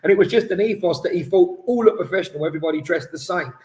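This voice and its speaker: Lively, rambling male podcast co-host. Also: sits high, very fast. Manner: animated, rambling with restarts. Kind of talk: casual chat. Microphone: headphones on, studio mic.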